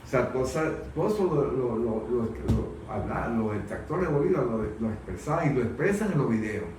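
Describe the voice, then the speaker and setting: Halting man in a very echoey room. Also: mid-range voice, animated, darkish tone. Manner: halting, stammering repetitions of words. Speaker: discussion panel guest. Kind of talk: opinionated unscripted discussion. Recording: very echoey room, distant mic, faint noise.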